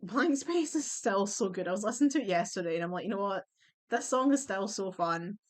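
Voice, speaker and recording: A young woman chatting bluntly as she ranks songs at home on her computer, her voice going a little hoarse. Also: mid-range voice, animated, fairly quick, a little hiss or hum. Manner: chatty, blunt, swears and laughs easily. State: losing her voice. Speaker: vlogger ranking songs. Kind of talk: casual chat.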